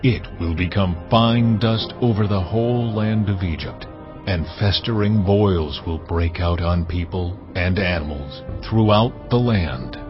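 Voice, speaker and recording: God in an audio drama, a very deep and unhurried commanding voice. The sound is slightly dull, with music swelling underneath. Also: male voice. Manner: slow, measured, authoritative delivery. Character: God, a solemn commanding divine voice. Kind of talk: dramatized scripture reading.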